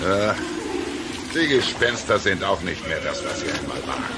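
A man playing a grumpy, hot-tempered cartoon captain in a children's audio play, gravelly and blustering, with music behind. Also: sits low, animated, very noisy. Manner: drawn-out, blustering grumbling.

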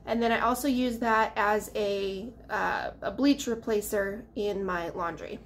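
A woman's voice: mid-range voice, unhurried, slight background noise. A young mother casually walking through her family's grocery haul and pantry at home, chatty and sometimes losing her words, recorded on a handheld camera. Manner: chatty, loses words, trails off. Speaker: mother of a large family, vlogger. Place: indoors at home, dining room and pantry. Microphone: handheld camera held at chest height.

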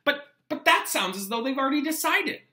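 Man as a studious teenage girl witch, storybook reading, raised pitch, anxious, startled, questioning. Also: very animated, a little fast, sharp tone, exaggerated voice. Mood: startled, worried. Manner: questioning, worried.

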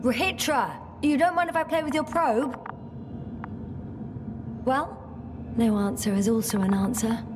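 A woman's voice: high-pitched, measured pace, long pauses, far from the mic, noisy background. An actress playing a weary, resourceful female space scientist in a video game, speaking naturally and sometimes muttering to herself. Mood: weary, wry.

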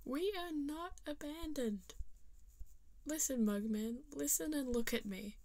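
Woman doing a protective cartoon cup boy, high-voiced. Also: measured pace, darkish tone, no echo. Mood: firm, reassuring.

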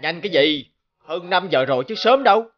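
A brusque middle-aged warehouse boss. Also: male voice, sits high, lively intonation, moderate pace, lo-fi sound. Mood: insistent.

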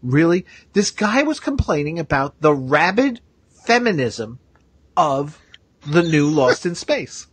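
A man with a husky voice hosts a live podcast. He chats casually and repeats phrases as he goes. The sound is dry and close. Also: on the high side, big pitch swings, slow, no room sound. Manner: chatty, repeats himself. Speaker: podcast host.

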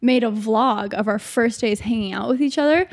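A woman's voice: a little high, moderate pace. A woman chatting as a podcast guest, chatty and teasing. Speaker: guest, YouTuber. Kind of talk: casual podcast chat.

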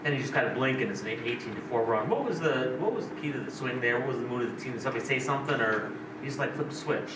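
A man's voice: medium pitch, expressive, very fast. A man reporter asking chatty, stacked questions at a press conference, heard from a distance. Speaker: sports reporter. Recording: distant, echoey, noisy room mic.

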